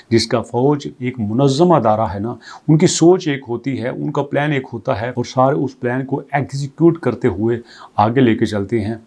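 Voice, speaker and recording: A man with a warm, darkish voice at a middle pitch, hosting a crypto news channel. He walks through the update in an explanatory, conversational way, stacking up rhetorical questions and restating points in plain terms. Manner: reads English passages aloud, then explains them conversationally; poses strings of rhetorical questions. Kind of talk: explanatory commentary.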